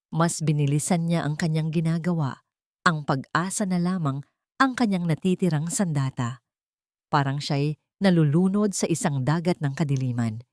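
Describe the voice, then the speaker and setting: A woman with a Filipino accent tells a dramatic audio story. Her voice sits on the low side and sounds dry and close, with no room around it. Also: a little mellow, dry recording. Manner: dramatic storytelling, voicing characters' lines. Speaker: narrator. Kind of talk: scripted audio drama narration.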